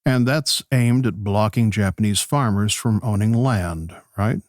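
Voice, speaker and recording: An actor playing a polite radio host who gently probes his political guests in a conversational interview style. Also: lowish voice, a little slow, no room sound, studio quality, natural voice. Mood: polite, curious. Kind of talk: pseudo-radio play interview.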